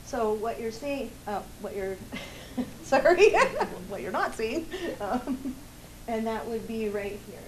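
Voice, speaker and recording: A woman with a loud voice, a housing agency staffer presenting policy changes at a public meeting, pausing often as she goes. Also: fairly high pitch, very animated, slight background noise, rough recording.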